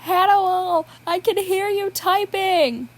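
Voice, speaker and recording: A woman voicing a playful, teasing adult man who calls out loudly and impatiently from another room. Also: very high-pitched, slow pace, pitched above the performer's own voice. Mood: exasperated calling. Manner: exasperated, loud, calling from afar.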